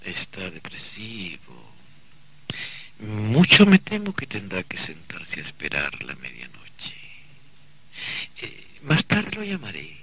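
A mocking messenger of the afterlife in radio theatre. His voice is lowish and swings theatrically, condescending and deliberate, on a slightly noisy old recording. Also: huge pitch swings, gravelly, pushed and bright, exaggerated voice. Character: smooth, mocking male emissary from the afterlife.

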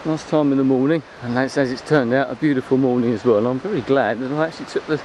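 A man talks in a chatty, rambling way at an ordinary pitch. He is giving an off-the-cuff walking commentary into a handheld camera's microphone. Clear outdoor noise of an open beach runs behind the voice. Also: fast, darkish tone. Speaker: vlogger filming a beach walk. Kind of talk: casual, unscripted walking commentary. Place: open beach at low tide. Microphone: handheld camera's built-in microphone.

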